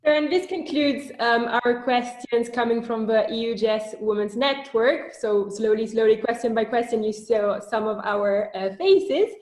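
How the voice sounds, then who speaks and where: Measured woman moderator in an echoey room. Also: a little high, a little mellow. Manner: measured, reading aloud with filler ums. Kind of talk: reading audience questions.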